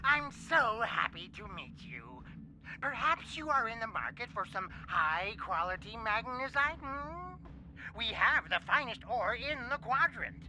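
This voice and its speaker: An actress voicing a gracious, politely insistent colony administrator in a game. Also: female voice, on the high side, big pitch swings, unhurried, sharp tone, a little hiss or hum, heavily acted, poor audio. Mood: welcoming. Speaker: game character. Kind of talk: voiced game dialogue.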